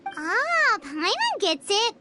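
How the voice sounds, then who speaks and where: Tiny squeaky childlike game fairy. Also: female voice, very animated, slow, music in the background, exaggerated voice. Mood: eager, curious. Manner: speaks of self in third person, exclaiming. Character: Paimon, tiny squeaky childlike fairy companion, eager and chatty. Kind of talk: English game voice acting.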